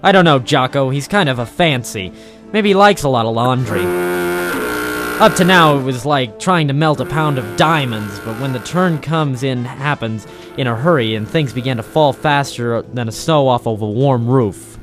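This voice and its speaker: An actor playing a cynical, tough private eye, deadpan and wry, in a noir radio drama. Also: male voice, mid-range voice, moderate pace, over music, close to an everyday voice. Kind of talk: 1940s film noir radio detective narration.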